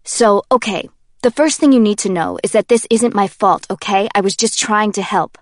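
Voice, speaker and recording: A woman voicing a brash, joking young man who is easily indignant, talking loud and high-strung, full of exclamations. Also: normal speed, no room sound, studio quality, close to an everyday voice.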